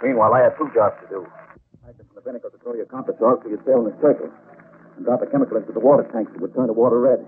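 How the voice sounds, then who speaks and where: An actor playing a murderous, defensive sailor and cornered schemer in 1940s radio drama style. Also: male voice, medium pitch, rapid-fire, close to an everyday voice. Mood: cornered, confessing. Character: murderous male sailor, cornered schemer confessing to his crimes. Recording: muffled, noisy vintage radio recording.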